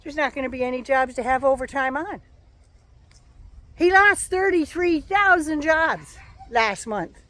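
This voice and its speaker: A woman giving a sarcastic, profane political rant as a vlogger, her voice going hoarse. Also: high voice, expressive, measured pace, fairly bright tone. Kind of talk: casual ranting monologue.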